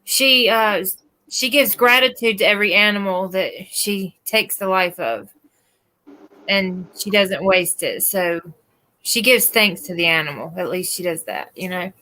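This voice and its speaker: A woman's voice: medium pitch, a little slow, pushed and bright, rough recording. A middle-aged woman, chatting in an easygoing, teasing way. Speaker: guest. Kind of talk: casual chat.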